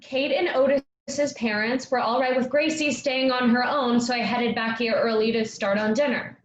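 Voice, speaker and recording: A woman's voice: on the high side, fairly even intonation, normal speed, lightly acted. A woman playing a stubborn, harried young woman in a staged play reading, defensive and insistent, in a dull, echoey, noisy recording. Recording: dull, echoey room with clear noise.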